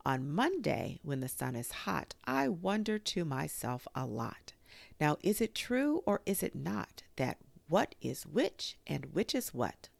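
A woman voicing an amiable, slow-witted male toy bear in a children's storybook, speaking simply and earnestly with some hesitation. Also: low voice, big pitch swings, gravelly, dry recording, heavily acted. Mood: reciting.

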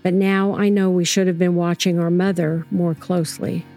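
A woman playing a grown preacher's daughter, recalling her childhood in measured, reflective first-person narration for an audio drama. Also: on the low side, little pitch movement, warm tone, faint music behind, lightly acted. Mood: reflective, nostalgic.

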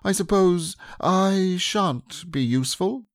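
A man voicing a slow, humble, simple-minded male bear who speaks in a mild, earnest way in an audiobook reading. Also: high-pitched, no room sound, clean studio sound, pitched above the performer's own voice.

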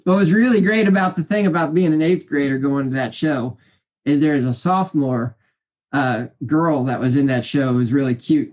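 A man in his forties with a naturally high voice, rambling cheerfully through stories as an interview guest, full of fillers and restarts. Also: a little mellow. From Kansas City area, US. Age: 40s. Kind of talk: casual interview storytelling.

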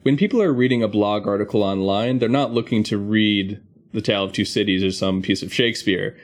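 Man, podcast host, slightly low pitch, structured explanatory delivery. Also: a little fast.

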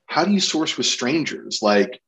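A man's voice: mid-range voice, expressive, a little slow, gravelly, fairly bright tone. A man hosting a podcast interview in a casual, discursive and enthusiastic way.

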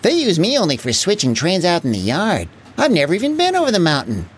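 Small brave engine, gentle and determined, storyteller's put-on. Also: mid-range voice, huge pitch swings, fast talker, slightly rough. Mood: kind. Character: small, kind, brave female switching engine.

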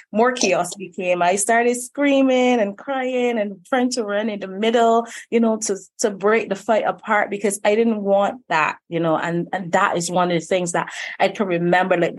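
A woman from Barbados, a life coach telling her childhood story in a podcast interview, earnest and reflective. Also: medium pitch. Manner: earnest, reflective, with frequent "you know". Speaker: guest, life purpose coach.

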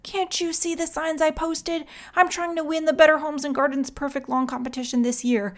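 Woman putting on a fussy high-pitched neighbor man, wailing, storybook. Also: little pitch movement, normal speed, natural voice. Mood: indignant. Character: fussy man neighbor proud of his lawn.

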